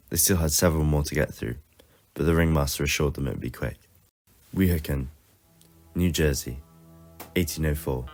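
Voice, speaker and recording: A man's voice: very deep voice, a little mellow. A man reading scripted narration as the narrator of an audio story.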